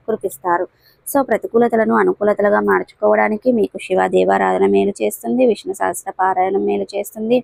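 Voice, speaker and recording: Creaky-voiced woman reading a daily horoscope. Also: mid-range voice, darkish tone, dry recording, poor audio. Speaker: astrology channel presenter.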